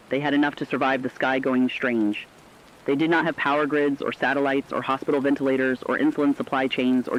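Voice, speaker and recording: A man reading a scripted, reflective monologue as a radio host, solemn, earnest and deliberate. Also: mid-range voice. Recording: dull, band-limited radio-like sound.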